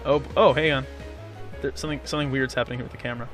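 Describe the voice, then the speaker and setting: A man's voice: medium pitch, steady tone, racing, a bit bright. A man giving casual, slangy, playfully sarcastic gameplay commentary. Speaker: let's play host playing the game. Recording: game music behind the voice. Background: video game music.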